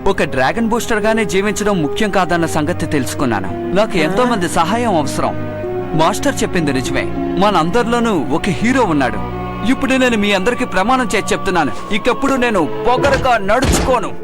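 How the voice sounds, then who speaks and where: A man's voice: sits high, lively intonation, fast. A man voicing an earnest, apologetic young male hero who rides a dragon, in a cartoon dub. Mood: sincere, resolved. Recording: loud music behind the voice.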